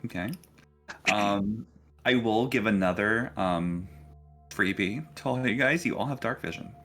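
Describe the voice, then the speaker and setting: A man narrating a tabletop game casually as game master, halting and thinking aloud as he goes. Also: on the low side, fairly bright tone, faint music behind.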